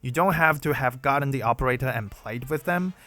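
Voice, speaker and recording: Measured Chinese-accented young man. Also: mid-range voice, bright, forceful tone, dry recording. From China. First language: Mandarin. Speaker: gaming YouTuber. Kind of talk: read Q&A video narration.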